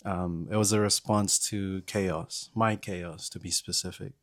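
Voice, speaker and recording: A man in his twenties, a podcast guest, talking in a relaxed and slightly hesitant way. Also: deep voice, a little slow, dry recording, studio quality. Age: 20s. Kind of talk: casual chat.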